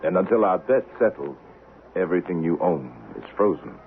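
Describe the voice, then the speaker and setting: A thin, old radio recording carries the very low voice of a calculating western avenger. He speaks deliberately and with control, dryly taunting, with a faint hiss underneath. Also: expressive, phone-quality sound. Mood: taunting, assured. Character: wronged man seeking revenge, cool, calculating, in Old West. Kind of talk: 1970s radio drama acting.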